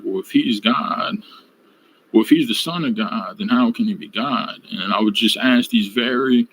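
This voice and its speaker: A man reflectively recounting his personal faith journey in a study group, halting and searching for words over a video call. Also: lowish voice, creaky, fairly bright tone, slight background noise, low-quality recording.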